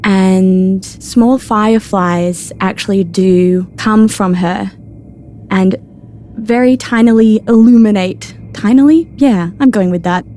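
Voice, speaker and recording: A woman with a dark, mellow voice narrates her part in a tabletop game. She takes her time with each description, over faint noise. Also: mid-range voice, slow pace. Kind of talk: descriptive storytelling.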